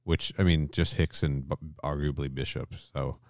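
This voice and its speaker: A man's voice: very deep voice, fairly even intonation, moderate pace, gravelly, darkish tone. A man co-hosting a podcast in casual discussion. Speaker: podcast co-host.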